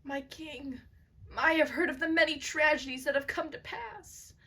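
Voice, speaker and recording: A woman's voice: high voice, moderate pace, bright, forceful tone. A woman playing a formal, dutiful, slightly wry male courtier in an amateur puppet show. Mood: solemn, wry.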